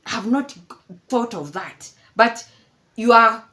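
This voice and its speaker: A woman's voice: on the high side, lively intonation, takes it slowly, pushed and bright. A woman in her fifties from Kenya giving casual, mocking commentary, laughing often and repeating herself. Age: 50s. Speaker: commentary vlogger.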